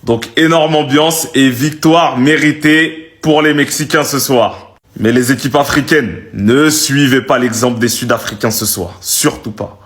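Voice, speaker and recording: A man's voice: mid-range voice, unhurried, a bit bright. A man giving frank, emphatic opinions on a football match in casual commentary.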